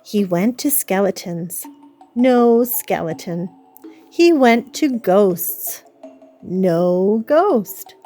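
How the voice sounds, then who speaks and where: A woman reading a children's story aloud as an expressive, dramatic storyteller. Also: medium pitch, drawn-out words, faint music behind. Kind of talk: expressive read-aloud narration.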